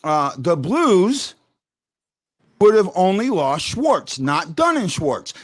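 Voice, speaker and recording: A man hosting a fan talk show, loud and excitable, rambling and restarting his sentences as he argues his point. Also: high-pitched, slow pace. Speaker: podcast host. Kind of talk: heated hockey fan call-in talk. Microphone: headphones.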